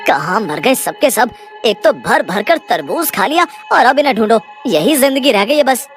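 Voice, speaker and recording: A woman's voice: fairly high pitch, animated, moderate pace, soft background music, natural voice. A woman voicing a worried, grumbling village man in a cartoon horror story, with a plaintive manner. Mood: irritated.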